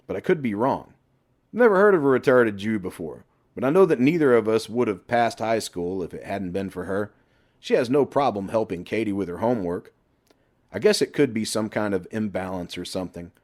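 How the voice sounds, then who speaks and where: A man's voice: fairly low pitch, lively intonation, moderate pace, darkish tone. A man voicing a crude, sarcastic middle-aged best friend who needles and teases in an audiobook story. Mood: sardonic teasing. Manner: sarcastic, teasing.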